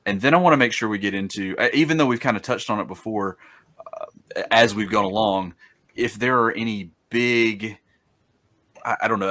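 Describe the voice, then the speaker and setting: A man in his thirties hosting an interview over a video call, halting and repeating words as he affirms his guest. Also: lowish voice, bright, forceful tone. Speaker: host. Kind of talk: casual interview talk.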